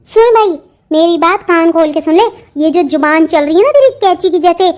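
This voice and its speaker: A woman's voice: very high-pitched, animated, moderate pace, darkish tone. A woman voicing a middle-aged, stern and bossy mother of a bride in a melodramatic Hindi cartoon, nagging and threatening as she talks. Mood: angry, threatening.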